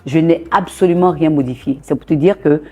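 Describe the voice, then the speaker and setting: A young woman from Côte d'Ivoire chats as an interview guest, lively and laughing often. Her voice sits a little low and sounds mellow and warm. She talks in an easygoing, animated way. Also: a little fast, husky, soft background music. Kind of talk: casual interview chat.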